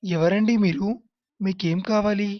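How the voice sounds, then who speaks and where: A performer voicing a caring, gentle father in a cartoon story, speaking in a measured way. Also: medium pitch, fairly even intonation, no echo, lightly acted. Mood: caring, serious. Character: caring father of two grown daughters. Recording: dull lo-fi, dry.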